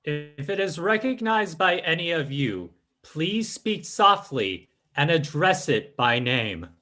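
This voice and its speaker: A man playing a solemn, theatrical spirit medium in old-time radio style, speaking in deliberate incantations. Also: on the high side, big pitch swings, sharp tone, exaggerated voice. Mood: solemn, mystical. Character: solemn, mystical male séance medium, theatrical.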